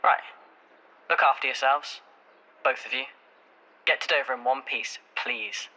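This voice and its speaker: A man's voice: mid-range voice, fairly even intonation, measured pace, pushed and bright, poor audio. A man playing a concerned, wry friend on a call in an audio drama, natural and a little hesitant. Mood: caring.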